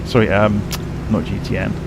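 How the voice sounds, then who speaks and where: A sleepy man with a croaky, gravelly voice, pitched a little low, walking viewers through a flight simulator cockpit. He rambles, corrects himself and explains as he goes, over a noticeable hiss of background noise. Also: fairly even intonation, moderate pace. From England. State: croaky, tired voice. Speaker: flight sim streamer. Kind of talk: casual live-stream commentary.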